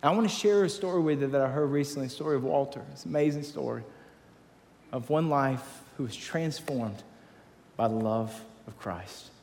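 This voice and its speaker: A man's voice: mid-range voice, moderate pace, creaky, a little mellow, long reverb tail. A man preaching a sermon to a men's group, emphatic and repeating key phrases. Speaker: pastor. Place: large church hall.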